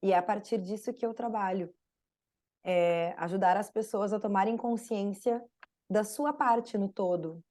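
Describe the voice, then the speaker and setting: A woman's voice: medium pitch, a little slow. A Brazilian woman with a Brazilian Portuguese accent, a podcast guest telling a story in casual conversation, full of fillers. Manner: warm storytelling with frequent fillers.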